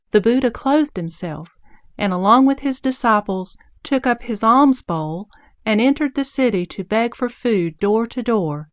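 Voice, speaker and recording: A woman narrating a scripture audio drama in a measured, formal storytelling manner. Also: mid-range voice, dry recording, clean studio sound.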